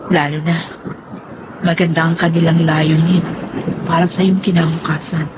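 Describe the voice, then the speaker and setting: A woman's voice: low voice, little pitch movement, moderate pace, noisy background, close to an everyday voice. An actress with a Filipino accent playing a dignified, hurt young woman in a radio drama, speaking earnestly and solemnly. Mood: firm, hurt. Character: Veron, wounded, proud, dignified young woman in love. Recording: dull radio audio under loud music.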